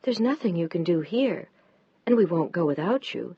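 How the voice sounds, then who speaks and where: A woman voicing a devoted wife who talks gently but firmly to her husband. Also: on the low side, expressive, moderate pace, breathy edge, full character voice. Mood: pleading. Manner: gentle but firm, rising when pushed. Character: devoted, pleading wife of a surgeon. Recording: dull, dry lo-fi recording.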